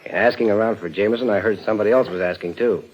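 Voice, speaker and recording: Man doing a tough threatening mobster, low voice, clipped slangy talk, muffled old radio. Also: rapid-fire, close to an everyday voice. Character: menacing jealous gangster husband, tough and blunt. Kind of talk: 1950s radio crime acting. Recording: dull old radio recording.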